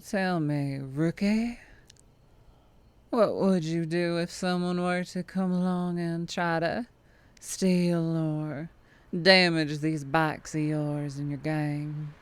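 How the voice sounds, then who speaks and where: A woman's voice: low voice, fairly even intonation, no room sound. A woman playing a tall, teasing, predatory vampire trucker with a Southern US accent and a flirtatious drawl, in an ASMR roleplay. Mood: flirty, teasing. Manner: slow teasing drawl with chuckles and pet names.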